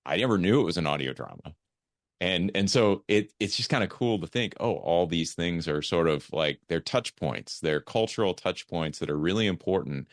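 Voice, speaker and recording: A man's voice: low-pitched, expressive, normal speed, no echo, clean studio sound. An American man hosting a podcast, chatting casually with his guest. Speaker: podcast host. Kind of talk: casual interview chat.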